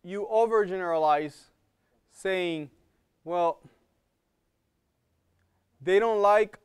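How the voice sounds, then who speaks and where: A man's voice: sits high, very slow, clear, resonant tone. A man with an accent giving a live health lecture to a class, talking in a conversational way, often checking in with "okay?" and repeating words as he thinks. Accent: non-native English.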